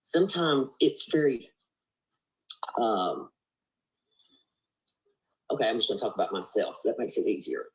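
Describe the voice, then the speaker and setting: A woman's voice: very deep voice, sing-song, unhurried, long pauses, rough recording. A woman with a Southern US accent talking earnestly on a group video call, rambling with lots of fillers and "you know". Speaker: panelist on a live stream call. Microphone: video call, laptop or phone mic.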